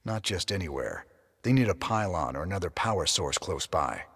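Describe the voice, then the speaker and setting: A man playing a world-weary, tough space rebel commander in a game, gruff, casual and plain-spoken. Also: low-pitched, very animated, moderate pace, a bit bright, heavily acted. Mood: matter-of-fact, resolved. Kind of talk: naturalistic game cutscene acting. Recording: long reverb of a large room.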